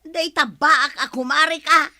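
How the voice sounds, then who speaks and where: A woman's voice: sits high, fairly even intonation, measured pace, sharp tone, no room sound, studio quality, exaggerated voice. A woman playing a forgetful, plaintive old village wife in an Ilocano audio drama, with an Ilocano accent. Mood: indignant.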